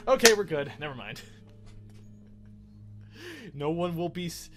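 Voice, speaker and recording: A man's voice: on the high side, sing-song, a little slow, loud music behind the voice. A man doing casual, rambling game commentary as a let's play host, often correcting himself as he goes.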